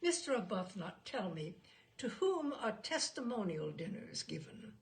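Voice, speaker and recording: A woman voicing a courteous male questioner who methodically examines a witness in a humorous story, formal and measured. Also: medium pitch, big pitch swings, gravelly. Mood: polite, inquiring. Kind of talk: humorous short story read aloud.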